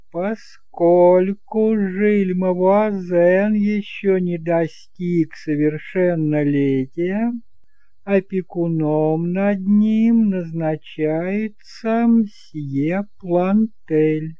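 A man voicing a bilious old notary who pronounces formal legal clauses slowly and officiously in an audiobook drama. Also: high voice, warm tone, clear, resonant tone, no echo, voice pushed up. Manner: slow, emphatic, formal.

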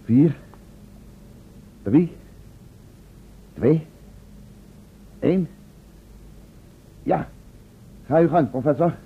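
A man's voice: fairly high pitch, very slow, long pauses. An actor playing a professor's uneasy, deferential male assistant in a radio play, hesitant, polite and questioning. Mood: tense. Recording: old, muffled thin radio recording with noise.

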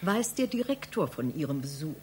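A woman's voice: low voice, very animated, normal speed, darkish tone, a little hiss or hum. A woman acting in a German radio play as an officious, unruffled receptionist, formal, insistent and reproachful. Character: officious, unruffled female receptionist guarding a director's anteroom.

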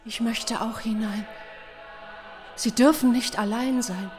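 A woman's voice: fairly high pitch, fairly even intonation, takes it slowly, a little mellow, soft background music. An actress in a radio play playing an anguished, fearful young woman in a plaintive manner. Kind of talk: slow symbolist radio play acting.

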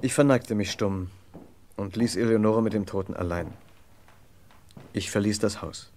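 An actor playing an earnest, gallant gentleman who narrates and investigates in a German radio crime drama, with a formal, polite manner. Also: lowish voice, moderate pace, lightly acted. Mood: grave narration.

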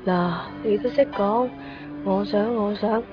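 A woman's voice: mid-range voice, slow, over music, close to an everyday voice. A woman acting a blunt, wistful, matter-of-fact teenage schoolgirl in a Cantonese radio drama, sighing, with a Hong Kong accent. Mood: chiding. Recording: dull lo-fi radio recording.

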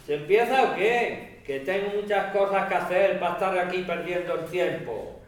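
A man plays an impatient, grumpy neighbour at a meeting in an audio drama. His voice is high and comes from away from the mic in a very echoey space. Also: normal speed, distant mic, lightly acted. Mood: irritated.

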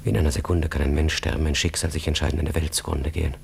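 A man's voice: extremely low pitch, fairly even intonation, fast, gravelly, slight background noise. A man narrating a literary radio drama in an intense, terse and dramatic manner. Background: music.

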